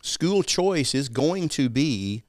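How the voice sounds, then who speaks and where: A man's voice: mid-range voice, very animated, takes it slowly, darkish tone, dry recording, studio quality. A man from East Texas with an East Texas accent, a guest talking casually in an interview and often checking in with "right?".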